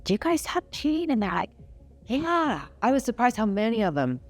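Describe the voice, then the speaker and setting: A woman's voice: on the high side, sing-song, normal speed, soft background music. A woman in her sixties talking openly as a patient guest on a podcast. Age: 60s. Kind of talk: candid podcast conversation.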